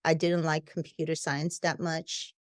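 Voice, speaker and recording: A woman's voice: sits low, level tone, measured pace, no room sound, studio quality. A woman with a non-native English accent, a company founder speaking frankly and laughing easily as a podcast guest. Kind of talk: casual podcast conversation.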